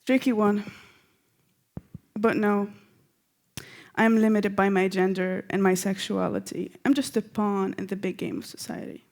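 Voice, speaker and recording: A woman's voice: medium pitch, flat delivery, moderate pace, gravelly, long pauses, a little mellow, natural voice. A young woman reading the part of a defiant, socially engaged woman in a staged play reading. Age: young adult.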